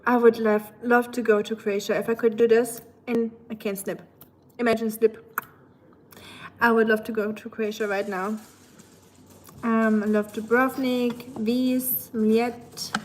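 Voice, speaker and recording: A young woman with a thick German accent chats through a livestream with a slightly high voice. She answers viewer messages in a loose, playful way and often laughs. A faint hiss of noise sits under her voice. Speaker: livestream host. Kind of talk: casual chat reading viewer messages.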